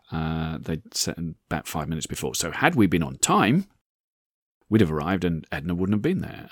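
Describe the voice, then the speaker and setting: A hoarse man in his sixties, hosting a podcast and telling a rambling personal story with many repeated words. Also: low voice, no echo, clean studio sound. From England. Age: 60s. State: hoarse, croaky voice. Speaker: podcast host. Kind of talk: casual anecdotal monologue.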